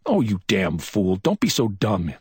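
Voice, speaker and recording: A man voicing a brusque, excitable male police officer who snaps out urgent reasoning. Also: lowish voice, unhurried, breathy edge, no echo, studio quality, voice pushed up, heavily acted. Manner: snapping, urgent, scolding.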